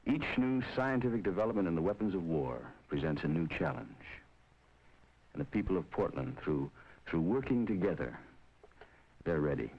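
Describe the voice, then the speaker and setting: A man narrating a documentary in a measured, solemn scripted delivery. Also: low voice, very animated. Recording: dull lo-fi 1950s film soundtrack. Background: film score under the voice.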